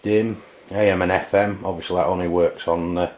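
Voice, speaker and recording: A man with an English accent giving an informal, unscripted hands-on product demonstration, halting and often pausing mid-sentence. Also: low-pitched, fairly even intonation, darkish tone, slight background noise. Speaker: product reviewer. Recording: roomy, dull lo-fi camera sound.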